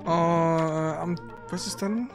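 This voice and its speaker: A man's voice: a little high, slow. A man chatting casually and ramblingly as he co-hosts a live game stream. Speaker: streamer and co-host. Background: game music.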